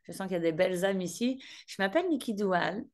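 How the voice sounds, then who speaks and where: A woman's voice: medium pitch, lively intonation, fast talker. A woman in her forties from northern France, a guest speaker and business mentor, chatting in a friendly way and addressing her listeners directly. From northern France, Picardy. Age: 40s. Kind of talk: casual warm talk to an online group.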